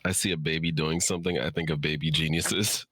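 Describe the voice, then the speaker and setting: A man's voice: very deep voice, steady tone, fairly quick, a bit bright. A man talking casually as a guest in a livestream chat. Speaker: writer, guest.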